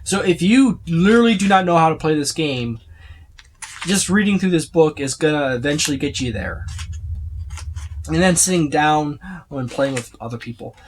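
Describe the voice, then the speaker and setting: A man's voice: fairly high pitch, normal speed, slight background noise. A man giving a casual, unscripted walkthrough as a reviewer, rambling and listing items as he browses. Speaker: tabletop game book reviewer.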